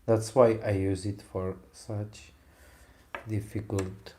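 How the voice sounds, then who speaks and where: A man from Poland speaks in English with a Polish accent tinged with Italian. His voice is dark, mellow and a little low. He talks very slowly and haltingly, chatting to his viewers as he carves. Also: little pitch movement, slight background noise. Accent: Polish, mixed with Italian. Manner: soft-spoken, halting English, answers chat questions. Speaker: woodcarving livestreamer. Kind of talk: relaxed live-stream chat while carving.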